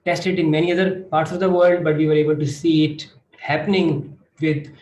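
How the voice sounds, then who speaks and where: Higher-voiced Pakistani man, echoey room. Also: little pitch movement, moderate pace, darkish tone. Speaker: panelist, project grantee. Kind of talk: explanatory panel talk. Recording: echoey room, faint background noise.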